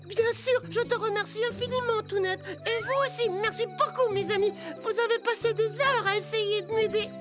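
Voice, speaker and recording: Cartoon dub: anxious young bus character, extremely high voice, earnest and emotive, loud music underneath. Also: female voice, fairly even intonation, normal speed, few pauses. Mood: happy, grateful. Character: anxious, conscientious talking little bus. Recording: music behind the voice.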